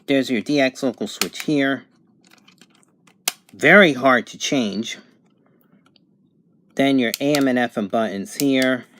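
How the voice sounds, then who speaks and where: Guy doing a casual unboxing at home, mid pitched voice, kinda halting, figuring it out as he talks. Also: a bit bright, slight background noise. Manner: unscripted, halting, thinking aloud. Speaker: amateur product reviewer. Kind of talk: casual unboxing and review narration. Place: home bedroom, indoors.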